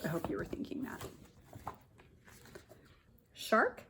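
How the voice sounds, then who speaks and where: A woman kindergarten teacher reads a picture book aloud to young children, pausing to explain and point things out in simple words. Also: a bit bright, slight background noise.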